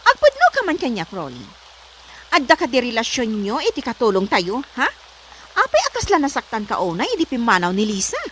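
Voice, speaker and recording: A woman's voice: on the high side, wildly swooping pitch, a little fast, fairly bright tone, slight background noise. A woman with an Ilocano accent playing a strict, suspicious, bossy lady of the house in a comedy drama. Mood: suspicious, accusing. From Philippines. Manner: scolding, demanding.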